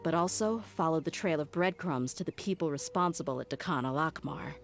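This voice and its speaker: A woman's voice: sits low, fairly quick, soft background music. A woman playing a loyal, frank mercenary in a video game, with a firm and resolute manner. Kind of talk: video game character acting.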